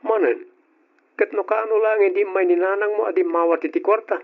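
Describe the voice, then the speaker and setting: An actor with an Ilocano accent playing a domineering, tight-fisted but doting husband in an old radio drama. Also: male voice, sits high, steady tone, brisk. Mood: stern, irritated. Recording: dull, lo-fi old radio recording.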